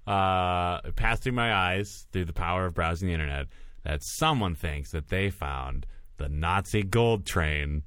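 A man's voice: low voice, unhurried, fairly bright tone, smooth, dry recording. A man in casual chat, asking puzzled questions and dropping dry quips.

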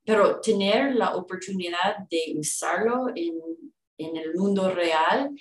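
A woman's voice: fairly low pitch, slow. A woman training as a legal interpreter, chatting hesitantly with many fillers. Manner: hesitant, filler-heavy, laughs lightly. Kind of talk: casual conversation. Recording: echoey room, distant from the mic.

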